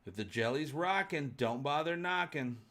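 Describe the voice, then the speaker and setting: A man's voice: on the high side, animated, normal speed, bright, forceful tone. A man hosting a fan livestream, chatting casually and answering viewers in a rambling, jokey, colloquial way. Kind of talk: casual chat, answering live viewer comments.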